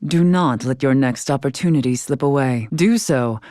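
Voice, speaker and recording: A wise, composed fantasy game heroine with a low voice. She speaks in formal, archaic, carefully measured phrases and carries a dry wit. The sound is close and dry, with no room on it. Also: mellow, no echo. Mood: measured, thoughtful. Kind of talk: scripted video game character acting.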